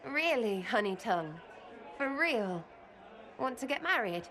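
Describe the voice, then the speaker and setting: A woman's voice: on the high side, very animated, a little slow, bright, forceful tone, a faint crowd, full character voice, low-quality recording. An actress playing a brash, playful young woman in a video game cutscene, rambling with crude slang and giggles. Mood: nervous.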